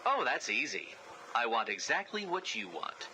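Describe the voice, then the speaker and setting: A man's voice: medium pitch, big pitch swings, moderate pace, bright, forceful tone, slight background noise, poor audio. A man voicing an arrogant, narcissistic genius villain in a video game, with a smug, theatrical, mocking delivery. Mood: sneering, gleeful.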